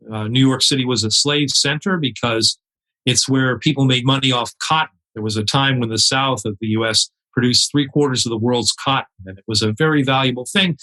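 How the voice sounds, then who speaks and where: A man with a bright, forceful voice talks in a relaxed interview. He tells long stories with real feeling and acts out other people's words. He often stops and restarts his sentences as he thinks. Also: mid-range voice, poor audio. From United States. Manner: earnest, quoting others with feeling, many restarts. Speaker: podcast guest, charity cyclist. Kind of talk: conversational interview storytelling. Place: home in New York City.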